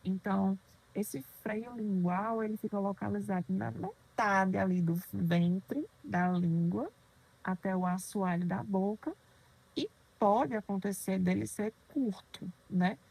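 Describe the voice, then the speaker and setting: Woman lecturer, husky warm tone, didactic, checks in with the listener often. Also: mid-range voice, takes it slowly, low-quality recording. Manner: didactic, poses questions and answers them, frequent "né?". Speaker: pediatric dentistry lecturer. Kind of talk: explanatory lecture.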